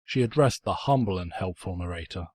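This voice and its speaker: Husky, low-voiced man narrating with mock pomposity. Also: expressive, brisk, darkish tone, no room sound. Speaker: narrator, fan fiction reader. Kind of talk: theatrical scripted story narration.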